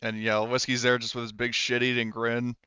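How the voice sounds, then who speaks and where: A man's voice: medium pitch, monotone, brisk, sharp tone, clear, resonant tone, dry recording, lo-fi sound. A man working as game master, casually improvising narration for a tabletop roleplaying game.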